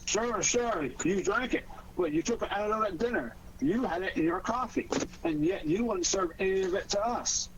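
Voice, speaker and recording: An actor playing an indignant, agitated city official, a cornered villain in a 1940s radio drama. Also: male voice, high voice, moderate pace, close to an everyday voice. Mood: panicked. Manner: querulous, agitated protesting. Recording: muffled, noisy 1940s radio recording.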